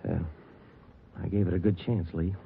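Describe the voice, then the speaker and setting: An American actor playing a terse, steady police detective in a 1940s radio crime drama, speaking in clipped, matter-of-fact phrases. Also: male voice, normal speed, gravelly, breathy edge, full character voice. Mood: relaxed. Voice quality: low-pitched. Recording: dull old 1940s radio recording, music behind.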